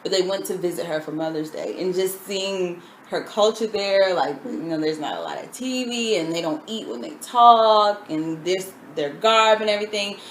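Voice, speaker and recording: A woman co-hosting a casual TV recap chat, talking in a relaxed way with many ums and drawn-out phrases. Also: mid-range voice. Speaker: co-host. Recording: echoey room with faint noise.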